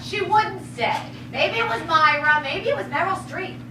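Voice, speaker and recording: Very high-pitched, blunt, gossipy woman, acted on stage. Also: lively intonation, normal speed. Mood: incredulous. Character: gossipy, blunt, incredulous female party guest. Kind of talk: stage farce acting. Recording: echoey theatre, noisy, distant mic.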